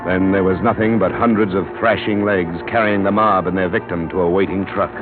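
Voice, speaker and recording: An actor playing a hard-boiled insurance investigator, narrating tersely in a 1950s radio drama. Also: male voice, low voice, fairly even intonation, brisk, natural voice. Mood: grim. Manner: terse first-person narration. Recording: tinny old radio broadcast with music.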